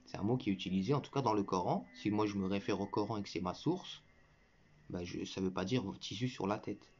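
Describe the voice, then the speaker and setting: A man's voice: lowish voice, fairly quick, a little mellow. A man giving a combative religious commentary to his viewers, lecturing and scornful, addressing the listener directly and breaking into mocking laughter. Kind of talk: combative reaction monologue to viewers. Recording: muffled lo-fi recording.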